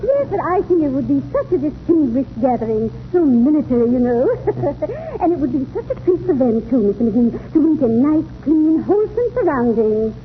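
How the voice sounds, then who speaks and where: Society lady, snobbish and fluttery, high swooping delivery, old-radio comedy. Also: very animated, normal speed. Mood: gracious, gushing. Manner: affected, fluttery, prim. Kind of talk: 1930s radio comedy acting. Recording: tinny 1930s radio, faint noise.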